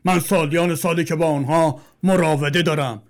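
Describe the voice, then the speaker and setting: Casting: a man playing a sulky good-for-nothing son, raised pitch, louder, mocking. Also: fairly even intonation, lightly acted. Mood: impatient. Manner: whiny, mocking, impatient. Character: lazy, rude young son, grumbling back.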